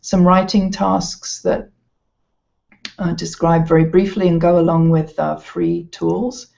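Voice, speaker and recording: Low-key woman presenter with creaky, even voice. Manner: hesitant, halting delivery. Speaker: educational presenter. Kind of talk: informal product walkthrough. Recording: slightly dull, lo-fi sound.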